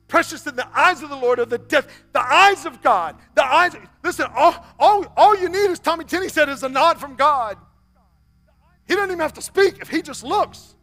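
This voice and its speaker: A high-pitched, bright male pastor preaching from a church stage, surging loud then dropping to a hush. His voice is sharp and forceful, with faint music underneath. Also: animated, normal speed. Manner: swings from loud, high exhortation to soft, near-whispered appeals. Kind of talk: sermon.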